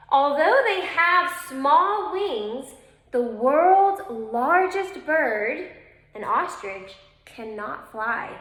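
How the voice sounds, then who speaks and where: Slow, high-voiced woman reading to children. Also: fairly bright tone. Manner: instructive, addresses young listeners directly. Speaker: elementary teacher reading aloud to children. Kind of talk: children's read-aloud with informal asides. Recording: roomy, echoing indoor sound.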